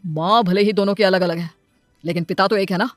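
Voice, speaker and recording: Woman doing a cartoon dad, earnest, devoted, plain talking, soft music in back. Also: mid-range voice, steady tone, fairly quick, no room sound, natural voice. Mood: reproachful. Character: earnest, devoted young father in a cartoon tale.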